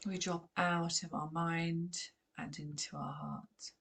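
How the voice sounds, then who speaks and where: A woman with a croaky voice, gently guiding a channeled meditation in a calm, unhurried way. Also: fairly low pitch. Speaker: spiritual channeler and host.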